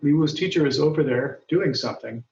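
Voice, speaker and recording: A man teaching an English grammar lesson, speaking slowly and deliberately, and raising his voice when he reads example dialogues aloud. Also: a little high, darkish tone. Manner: patient, deliberate, lifts his voice when reading quoted lines. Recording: dull, roomy sound from a distance.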